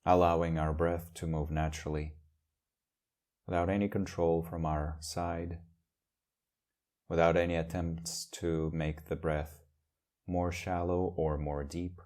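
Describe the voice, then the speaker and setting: A man leading a guided meditation as a teacher, speaking in gentle, measured phrases with long pauses. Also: low-pitched, little pitch movement.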